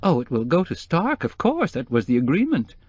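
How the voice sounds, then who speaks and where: Guy doing a weepy rich middle-aged lady, high shaky voice, all choked up. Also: expressive, normal speed, a little mellow, dry recording, lightly acted. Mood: tearful. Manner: weepy, quavering, rising high in distress. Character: distraught wealthy middle-aged woman, tearful.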